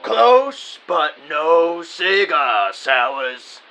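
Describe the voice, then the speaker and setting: A man acts out a mocking, menacing thug for an audio drama. The words are stretched extremely slowly in a high, bright voice, gloating all the way. Music plays faintly underneath. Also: very animated, exaggerated voice. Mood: gloating, taunting. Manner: taunting, drawn-out delivery.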